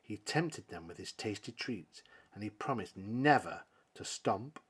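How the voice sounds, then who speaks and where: A man reading a children's picture book aloud as a dramatic narration, with theatrical delivery and emphatic pauses. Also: on the low side, animated, fairly bright tone, husky. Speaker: storybook reader.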